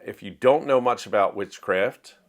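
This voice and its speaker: A man with a slightly low voice chats through the books he has read, keen and explanatory. He speaks in an easy, conversational flow, like a writer sharing favourites with his viewers. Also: moderate pace. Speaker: book reviewer and writer. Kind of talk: casual monthly reading wrap-up.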